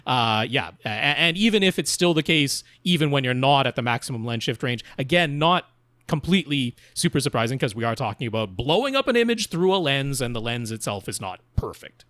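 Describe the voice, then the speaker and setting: A man's voice: mid-range voice, very animated, a little fast, a bit bright, no room sound. A man co-hosting a podcast, explaining technical advice casually and in detail with emphasis on key words. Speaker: podcast co-host answering listener questions.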